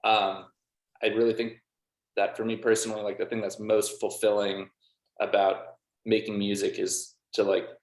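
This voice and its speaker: Casual man in an echoey room. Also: lowish voice. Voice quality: casual, filler-heavy speech with frequent "like". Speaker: band member and music producer being interviewed. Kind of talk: casual conversational interview answers. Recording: roomy, echoey sound.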